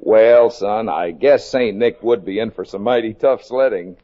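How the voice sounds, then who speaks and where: A man's voice: on the low side, unhurried. An American man working as a radio announcer, delivering a scripted sponsor message in 1950s style. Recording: dull vintage 1950s radio recording.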